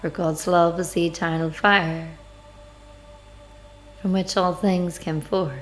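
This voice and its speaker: Woman doing a guided meditation, really slow and on the low side, music playing behind her. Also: fairly even intonation. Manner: measured, deliberate phrasing with pauses. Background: background music.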